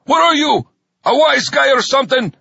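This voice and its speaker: A man's voice: very high voice, expressive, moderate pace, creaky, pushed and bright, no echo, highs cut off, pitched above the performer's own voice, full character voice. A man voicing a bullying army sergeant who screeches and barks orders. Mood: shouting orders.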